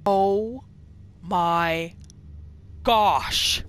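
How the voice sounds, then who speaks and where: A woman hosting a gaming roleplay series, giving expressive playthrough commentary and telling her character's story. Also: medium pitch, extremely slow. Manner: expressive, exclamatory reactions.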